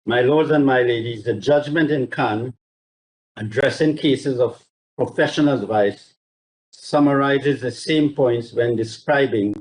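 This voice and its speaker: Deliberate, formal male barrister. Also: mid-range voice, darkish tone, low-quality recording. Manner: deliberate, measured, courteous and formal. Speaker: barrister addressing a court. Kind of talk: formal legal submission, quoting judgments. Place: remote court hearing over video link. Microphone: video call.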